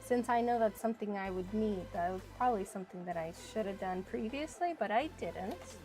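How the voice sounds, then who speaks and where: A woman's voice: medium pitch, brisk, darkish tone, soft background music, rough recording. A woman streaming a video game, chatting casually and thinking aloud as she plays. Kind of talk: casual live-stream chat while playing.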